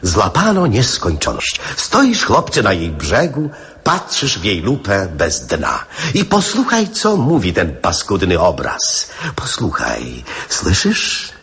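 A man's voice: deep voice, huge pitch swings, slow, fairly bright tone, husky, exaggerated voice. An actor playing a gloomy, eccentric poet in a radio drama, theatrical and ponderous as he muses to a boy. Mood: solemn, rapt.

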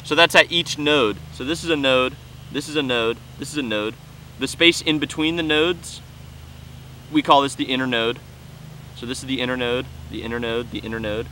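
A man's voice: medium pitch, moderate pace, pushed and bright, slight background noise, rough recording. A young man in his twenties on a lapel mic, explaining step by step with enthusiasm while handling plants in an open farm field. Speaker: farm educator. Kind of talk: outdoor teaching walk-through.

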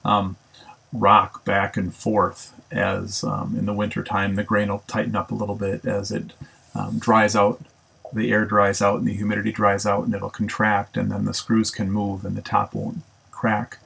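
Male shop teacher, raspy low voice with heavy fry, easygoing, self-correcting narration. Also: moderate pace. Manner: relaxed, thinks aloud with many ums and self-corrections. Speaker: woodworking instructor. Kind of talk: casual how-to narration. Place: home woodshop.

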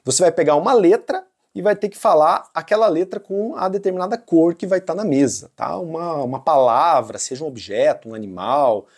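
A woman's voice: low voice, expressive, a little fast, hardly any pauses, a little mellow, husky. A Brazilian woman presenting a board game tutorial and review in an informal, conversational way, often checking in with short tags. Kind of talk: casual explanatory tutorial and review.

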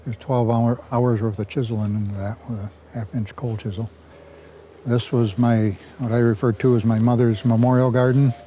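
An older man giving a garden tour outdoors, talking in a folksy, unhurried way on a lapel mic. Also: mid-range voice, level tone, mellow, slight background noise. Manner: folksy, unhurried, chuckles at own jokes. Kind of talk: casual storytelling tour.

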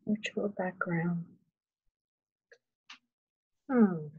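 A woman on a video call quietly muttering to herself, puzzled, as she tries to work out the call settings. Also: on the low side, drawn-out words, breathy edge, narrow, tinny audio.

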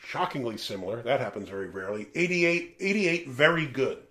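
A man's voice: medium pitch, fairly quick. A man in his forties from the American Northeast, hosting a beer review show in casual, jokey, rambling chat. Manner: animated, jokey, rambling asides. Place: small room lined with beer shelves.

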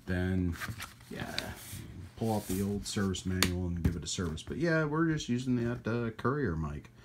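A man hosting a hobby radio channel in a casual, rambling hands-on demonstration, with folksy trailing asides and a husky voice. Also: deep voice, normal speed, background noise. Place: indoors at a radio workbench.

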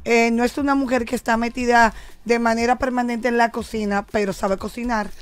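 A man's voice: very high voice, level tone, fairly quick, clean tone, no echo. A man hosting an entertainment radio show, taking calls and keeping the chat moving. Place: radio studio.

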